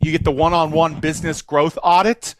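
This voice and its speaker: Brief: man, webinar host making a sales pitch, upbeat and salesy. Slightly high voice, dry. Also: little pitch movement, fairly quick, no room sound. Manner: upbeat, salesy, runs through offer details.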